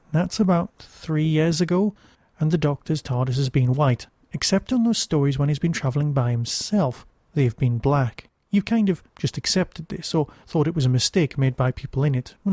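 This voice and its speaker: A male podcast reviewer with a slightly high but mellow voice. He talks casually, wandering into wry asides, and the recording is close and dry. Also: no echo. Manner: wry, digressive, conversational asides. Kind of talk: casual solo review commentary.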